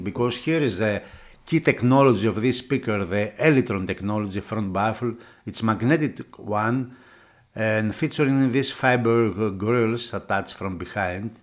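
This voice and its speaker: A man with a fairly low voice talks through a hands-on product demonstration. He is a non-native English speaker and speaks haltingly, often stopping to search for the next word. The delivery is unscripted reviewer commentary. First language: not English. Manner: halting, searching for words, with frequent hesitations. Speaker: product reviewer for an audio magazine.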